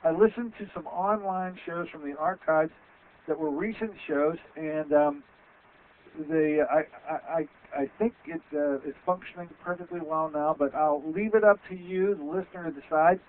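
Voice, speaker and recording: Loud older radio host, tinny sound. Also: male voice, a little high, moderate pace. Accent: Western Pennsylvania. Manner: loud, rambling, chatty. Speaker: radio show host, physician. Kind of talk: casual radio talk. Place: small office with framed certificates. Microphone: headset mic. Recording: tinny phone-like audio.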